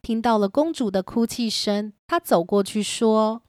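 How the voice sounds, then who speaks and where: A woman's voice: on the high side, unhurried, darkish tone, no echo, studio quality. A woman narrator reading a scripted story for children.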